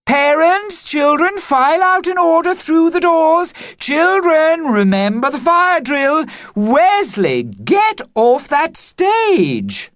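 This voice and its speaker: A reader voicing a flustered schoolteacher woman shouting orders to children at a fire drill. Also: high-pitched, big pitch swings, slow, few pauses, dry recording, clean studio sound, pitched above the performer's own voice, exaggerated voice. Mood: urgent. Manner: shouting commands.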